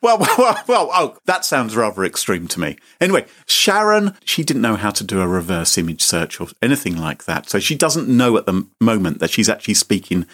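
A man's voice: big pitch swings, brisk, hardly any pauses, a bit bright. A man hosting a chat show, telling a comic story with theatrical mock outrage. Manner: mock-indignant, theatrical, sweeping pitch. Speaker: podcast host.

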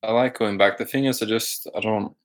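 A young man from Denmark with a mixed Danish, American and British accent, talking casually and haltingly as a guest. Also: lowish voice, fairly even intonation. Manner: halting, searching for words. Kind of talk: casual chat.

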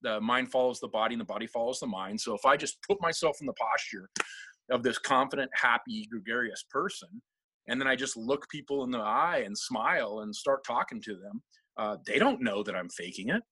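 Middle-aged guy, bit husky, bright forceful tone, rambles and laughs a lot. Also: medium pitch, animated, a little fast, no room sound, rough recording. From Seattle, USA. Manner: rambling, self-deprecating, laughs often. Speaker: guest. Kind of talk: casual interview talk.

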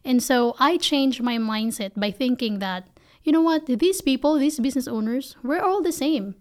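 A woman's voice: sits high, expressive, moderate pace, a little mellow. A woman from the Philippines with a Filipino accent, speaking candidly and emphatically in conversational interview answers as a company founder.

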